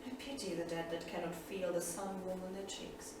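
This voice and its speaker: A woman's voice: lowish voice, flat delivery, lightly acted. Woman acting a solemn saga heroine, very echoey. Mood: regretful. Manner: solemn, measured, poetic. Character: sorrowful, proud old saga heroine and solemn storyteller. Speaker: actor. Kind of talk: staged reading of poetic drama. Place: small theatre studio. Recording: distant, echoey theatre recording, faint noise.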